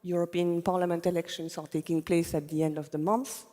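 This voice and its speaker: A woman speaking as a policy official on a conference panel. She explains points in a measured, steady way, in a fairly low voice. The sound has a long reverb from a large hall. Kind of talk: panel discussion on stage.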